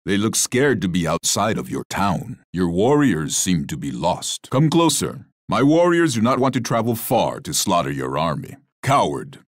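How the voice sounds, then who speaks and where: An actor playing a proud, stern Sioux war chief in a strategy video game, speaking in a measured, declamatory way. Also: male voice, deep voice, no room sound, clean studio sound, heavily acted. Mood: menacing, proud. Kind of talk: scripted strategy game character lines.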